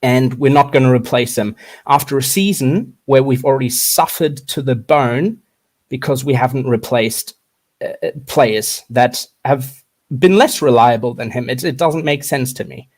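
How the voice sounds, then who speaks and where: A young man with a mixed German and Australian accent, calling in to rant passionately about his team, with quick halting restarts. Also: mid-range voice. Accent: German-Australian mix. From Australia, German-born. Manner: fast, impassioned, halting restarts. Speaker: caller.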